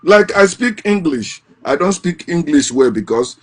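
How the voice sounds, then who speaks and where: Impassioned man, fairly high voice. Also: lively intonation, measured pace, husky. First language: Igbo. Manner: impassioned, repeats and restarts phrases. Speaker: host. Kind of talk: casual monologue.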